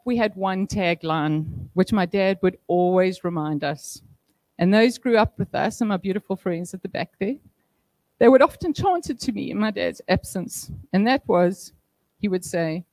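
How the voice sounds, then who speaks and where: A woman's voice: medium pitch, dry recording, rough recording. Woman giving a heartfelt eulogy. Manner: heartfelt, with light humor and soft laughs. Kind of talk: memorial eulogy. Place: indoor function room at a memorial service. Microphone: handheld microphone.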